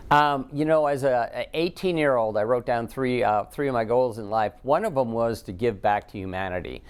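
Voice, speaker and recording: An American man with a smooth, clear voice of average pitch gives unscripted interview answers. He talks haltingly, filling gaps with ums. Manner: halting, frequent ums while thinking. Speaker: astronaut, interview guest.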